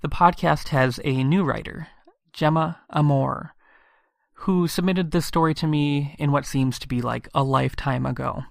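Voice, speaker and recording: A man's voice: medium pitch, creaky, fairly bright tone, no echo, clean studio sound. A man hosting a podcast, giving an earnest, presentational introduction. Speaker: podcast host.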